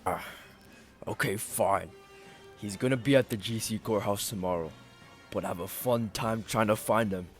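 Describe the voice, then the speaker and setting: A man playing a smug, defiant hired gunman in an amateur radio play, taunting in a drawn-out way. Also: lowish voice, faint music behind, natural voice.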